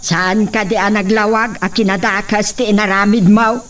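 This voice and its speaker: A woman's voice: medium pitch, level tone, moderate pace, recorded from a distance, natural voice. Reproachful Ilocano village woman, acted, off-mic. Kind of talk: Ilocano radio drama acting.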